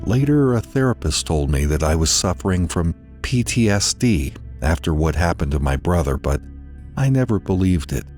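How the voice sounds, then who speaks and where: Very deep-voiced man narrating horror over music. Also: a little mellow. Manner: slow, deliberate, dramatic delivery with pauses. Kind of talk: dramatized scripted horror narration.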